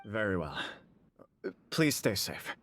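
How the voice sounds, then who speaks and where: A man's voice: lowish voice, very animated, takes it slowly, a bit bright, exaggerated voice. A man voicing a formal male government official in video game dialogue, speaking in a persuasive way. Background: game music.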